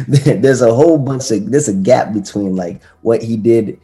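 A man's voice: mid-range voice, slow pace, mellow. A man co-hosting a podcast, talking earnestly and loosely about motivation, with many fillers and restarts. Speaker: podcast co-host. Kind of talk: casual motivational chat.

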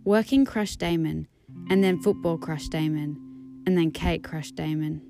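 An Australian woman giving a dramatic poetry reading in a deliberate, deadpan manner. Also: low voice, vocal fry. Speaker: guest poetry reader. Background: plucked guitar music.

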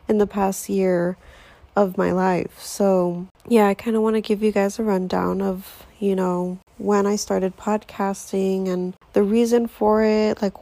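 A woman's voice: mid-range voice, gravelly, warm tone, no room sound. A woman in her twenties hosting her own podcast, chatting casually in a rambling way with lots of fillers. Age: 20s. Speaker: podcast host. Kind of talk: casual solo podcast intro.

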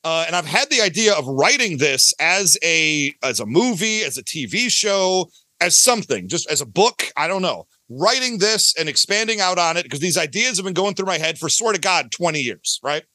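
A man's voice: a little high, very animated, moderate pace, sharp tone, dry recording. A middle-aged man chatting casually as a podcast guest, rambling and emphatic in an enthusiastic, gushing way. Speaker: podcast guest, audio drama creator. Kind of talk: casual, rambling interview chat.

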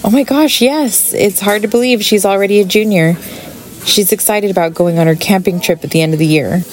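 A woman's voice: medium pitch, brisk, natural voice, low-quality recording. A woman playing a caring, busy mother in a radio play, with naturalistic acting. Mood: friendly, reassuring.

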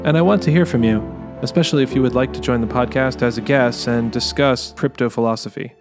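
A man's voice: mid-range voice, fast talker. A man hosting a podcast, reading a scripted sign-off. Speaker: podcast host. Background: guitar music.

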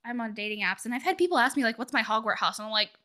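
Woman co-host, blunt and outspoken, high, bright voice, lively pitch. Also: rapid-fire. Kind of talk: casual podcast chat.

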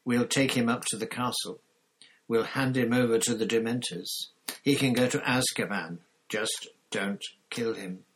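A man's voice: mid-range voice, unhurried, slight background noise, pitched above the performer's own voice, lightly acted, poor audio. A man voicing a resolute teenage boy in a storybook, blunt, indignant and insistent. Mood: breathless insistence. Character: resolute, indignant teenage boy sparing his father's betrayer.

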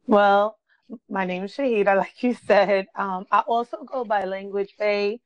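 A woman's voice: medium pitch, steady tone, a little slow, dry recording. Chatty New woman guest, lots of "like", recorded dry and muffled. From Brooklyn, New York. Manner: chatty, laughs often, frequent "like" and "um". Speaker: guest, blogger. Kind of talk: casual podcast interview. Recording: dull, dry lo-fi sound.

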